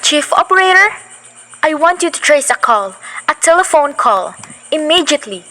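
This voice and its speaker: A student actress playing an anxious, demanding invalid housewife in a school radio play, fretful and impatient. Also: female voice, high-pitched, sing-song, pushed and bright, soft background music, exaggerated voice. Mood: urgent. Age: young. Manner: fretful, impatient, talks fast when agitated.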